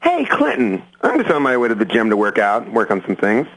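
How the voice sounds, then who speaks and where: A man's voice: medium pitch, huge pitch swings, fairly quick, fairly bright tone, full character voice, rough recording. An actor playing a touchy, shady man calling in to a hip-hop radio station, with swooping questions and asides. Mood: sly, hesitant. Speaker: radio call-in listener.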